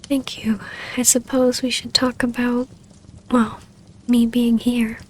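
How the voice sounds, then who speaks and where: A woman acting a wistful, tender vampire baroness in an ASMR roleplay, speaking softly and haltingly in an intimate delivery. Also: fairly high pitch, flat delivery, background noise.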